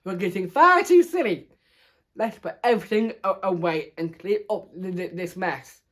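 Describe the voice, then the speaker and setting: A man voicing a brisk, exasperated female primary school teacher in a storybook, scolding in a halting way. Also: high voice, expressive, fairly bright tone.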